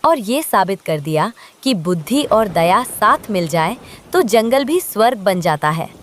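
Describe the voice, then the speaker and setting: A woman voicing a devoted, conniving crow wife and mother in an expressive cartoon style. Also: mid-range voice, unhurried, a little hiss or hum. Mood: moved. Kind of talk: Hindi moral-story cartoon dialogue.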